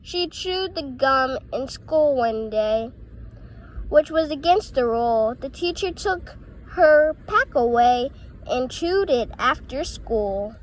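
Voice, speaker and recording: A woman reading playful rhymes and tongue twisters aloud in a halting way, often stumbling over words. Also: sits high, animated, poor audio. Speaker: reader of children's poems.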